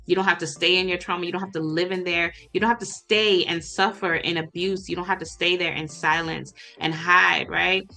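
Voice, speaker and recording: Woman podcast host, bright edgy tone, enthusiastic and encouraging. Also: fairly low pitch, fairly even intonation, fairly quick, gravelly, few pauses. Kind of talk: casual interview talk.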